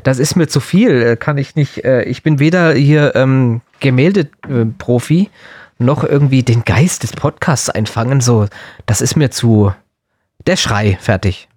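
A man's voice: medium pitch, a little slow, darkish tone, no room sound, clean studio sound. A man co-hosting a casual podcast, talking in a spontaneous, jokey way and prone to chuckling. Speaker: podcast co-host. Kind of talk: casual humorous podcast chat.

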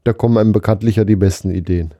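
A man's voice: fairly low pitch, steady tone, very fast, mellow, dry recording. A man co-hosting a podcast, talking in a casual, conversational way. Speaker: podcast co-host. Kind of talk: casual chat.

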